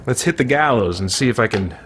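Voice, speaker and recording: A man's voice: mid-range voice, lively intonation, fast talker, fairly bright tone, a little hiss or hum. A man giving casual, rambling gameplay commentary as he thinks aloud. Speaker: let's play host.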